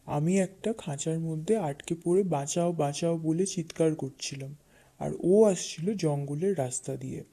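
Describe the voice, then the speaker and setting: Cartoon tiger , actor playing: ungrateful bully, insistent, deep-shaded mellow timbre, children's fable delivery. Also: moderate pace, natural voice. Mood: explaining. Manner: blunt, insistent. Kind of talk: children's animated fable dialogue.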